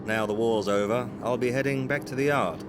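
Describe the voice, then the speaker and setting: An actor playing a discreet, courteous Scotland Yard detective and bodyguard in an audio drama, polite and official. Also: male voice, mid-range voice, brisk, close to an everyday voice. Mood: guarded, courteous. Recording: clear background vehicle noise.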